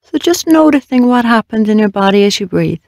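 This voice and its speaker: A meditation guide leading a body scan in a slow, measured, gently instructing way with long pauses. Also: medium pitch, sing-song, no room sound, studio quality.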